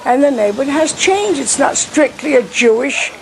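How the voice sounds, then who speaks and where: A woman's voice: high voice, expressive, moderate pace, gravelly, a little hiss or hum, poor audio. An older woman, a long-time resident, talking casually and with resignation in a documentary interview.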